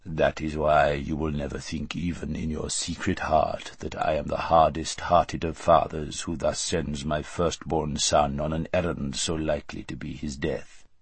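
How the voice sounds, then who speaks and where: Man as a deep low husky old tyrant king, pompous, slow, storybook. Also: flat delivery, dry recording, exaggerated voice.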